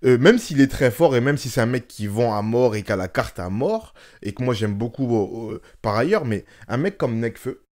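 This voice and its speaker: A man's voice: mid-range voice, expressive, moderate pace, hardly any pauses, a little mellow. A man hosting a casual interview, thinking aloud with frequent hesitations. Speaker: host, interviewer. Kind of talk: casual conversation.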